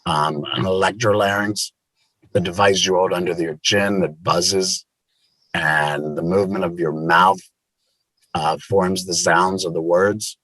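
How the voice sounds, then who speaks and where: Husky-voiced man in his sixties. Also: low-pitched, fairly even intonation, a bit bright, no room sound, poor audio. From Maryland, USA. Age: 60s. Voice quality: speaks through a voice prosthesis. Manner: halting, repeats words. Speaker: guest. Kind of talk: personal story.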